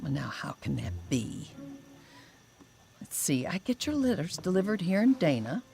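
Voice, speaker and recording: A woman's voice: lowish voice, sing-song, gravelly, mellow, slight background noise. An actress playing a chatty small-town older woman who loves to tell a story, stretching out her words for effect. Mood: gossiping. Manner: chatty storyteller, drawn-out emphasis. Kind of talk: radio drama acting.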